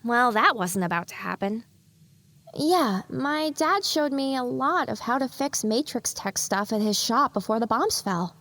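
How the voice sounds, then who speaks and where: A woman as a high-pitched, nervous young pony mare. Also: expressive, measured pace, fairly bright tone. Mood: sweet, hopeful. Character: cute, nervous, naive young female unicorn pony. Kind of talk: audio drama character acting.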